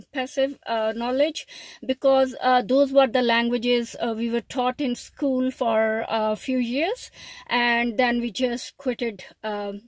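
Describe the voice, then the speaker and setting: A woman's voice: fairly high pitch, dry recording. A middle-aged woman, a Punjabi speaker, talking hesitantly with many fillers in a casual video diary from inside a car. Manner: hesitant, many fillers, lists word meanings. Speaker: language learner. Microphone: phone held at arm's length.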